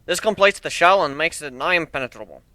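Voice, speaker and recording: A man's voice: a little high, lively intonation, quick pace, sharp tone, no echo, pitched above the performer's own voice. A man voicing a boastful, hearty minotaur airship builder in a dramatic story reading, with a brash, folksy way of talking. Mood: brisk, instructing. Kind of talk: dramatic fan fiction reading.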